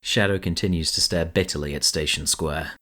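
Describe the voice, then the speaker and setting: Male narrator, low register, scripted scene-setting for a radio-play style production. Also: fairly even intonation, brisk, bright, forceful tone. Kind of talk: scripted audio drama narration.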